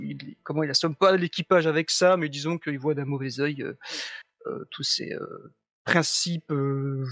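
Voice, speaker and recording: A man describing his character at length, rambling and halting, with many fillers. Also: a little high, gravelly, fairly bright tone, no echo, clean studio sound. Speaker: player. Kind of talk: introducing his character in a tabletop game.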